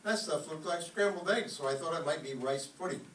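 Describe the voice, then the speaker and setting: A man's voice: fairly high pitch, fairly quick, a bit bright, natural voice. A man playing a sleepy, sarcastic, grumbling husband in an old-time radio comedy staged live. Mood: cranky, sarcastic. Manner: grumbling, indignant. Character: sleepy, cranky, sarcastic penny-pinching husband. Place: live stage performance before an audience. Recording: roomy, distant mic, faint noise.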